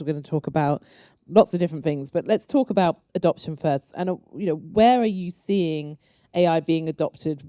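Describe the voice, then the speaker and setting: A woman's voice: sits low, mellow, dry recording, clean studio sound. A woman with a Yorkshire English accent, moderating a panel discussion. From Yorkshire, England. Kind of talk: hosting a live panel discussion.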